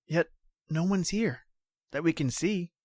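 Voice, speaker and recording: An actor playing an earnest, insistent young trooper. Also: male voice, a little high, unhurried, a little mellow, no room sound, studio quality. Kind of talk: old-time radio drama reading.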